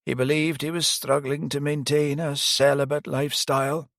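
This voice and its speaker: A man puts on an elderly priest with a gentle, grieving manner, lifting his voice high above his own. The old cleric speaks slowly and softly, weighing each word. The recording is dry and close, with no room sound. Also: level tone, creaky, no echo. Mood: sorrowful. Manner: soft, slow and sighing, higher than the narrator.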